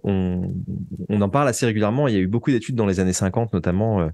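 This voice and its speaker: Low-pitched Frenchman, halting and searching. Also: darkish tone, no echo. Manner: hesitant, halting, often repeating words while searching for phrasing. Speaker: interview guest, writer of audio fiction. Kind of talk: casual, explanatory interview talk.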